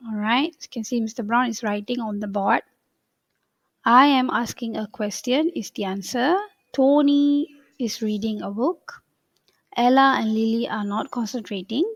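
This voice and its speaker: A woman's voice: on the high side, moderate pace, dry recording. A woman English teacher going over workbook answers in a patient, step-by-step way, often saying "okay" between points.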